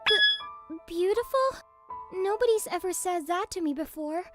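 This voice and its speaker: A shy, gentle teenage girl in a manga dub. Her voice sits very high and she speaks slowly and hesitantly. Music plays under the voice. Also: lively intonation. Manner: soft, hesitant. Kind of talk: anime dub voice acting. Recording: music bed behind voice.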